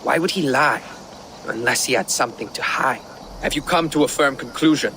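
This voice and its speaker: An actor playing a proud, loyal Viking jarl in a video game, speaking in a solemn, formal manner. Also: male voice, mid-range voice, moderate pace, sharp tone, slight background noise. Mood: troubled.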